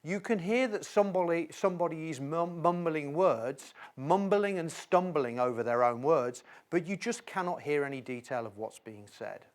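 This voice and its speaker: A middle-aged man explaining things as an instructor in a video tutorial, with a measured, emphatic, articulate delivery. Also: on the high side, expressive. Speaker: instructor, presenter.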